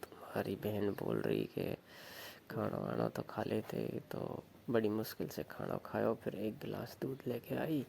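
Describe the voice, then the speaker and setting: A man acting as a Rajasthani village lover on a phone call, coaxing and suggestive. His voice is very gravelly and slow, at a middling pitch, with faint noise on the recording. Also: huge pitch swings, darkish tone, heavily acted. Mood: earnest, suggestive. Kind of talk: staged phone call, casual flirtatious chat.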